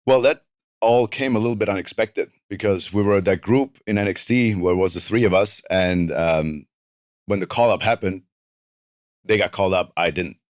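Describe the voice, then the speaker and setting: A man in his thirties from northern Italy with a South Tyrolean German-Italian accent, whose first language is a German dialect. He talks as a guest in a relaxed, rambling way, leaning on "like" a lot. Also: low voice, moderate pace, dry recording, clean studio sound. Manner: relaxed, rambling, heavy use of "like". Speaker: interview guest, professional wrestler. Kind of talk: casual interview chat. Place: studio.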